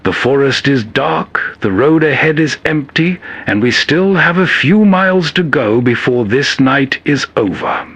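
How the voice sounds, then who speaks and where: A man with a husky, mid-pitched voice hosts the programme. He delivers scripted, atmospheric narration in a storyteller's manner. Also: expressive, unhurried, few pauses, fairly bright tone, no echo. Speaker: host narrator.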